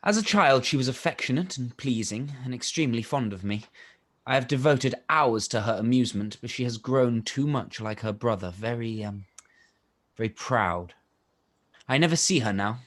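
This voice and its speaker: An ingratiating young army officer played by a man in a period radio play. He speaks at a middling pitch, measured and polite, as if sharing confidences. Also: animated, natural voice. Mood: confiding.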